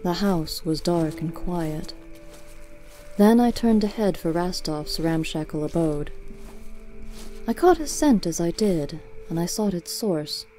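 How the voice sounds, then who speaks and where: Woman reading a storybook, mellow lowish voice, faint music behind. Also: lively intonation, slow. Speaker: narrator.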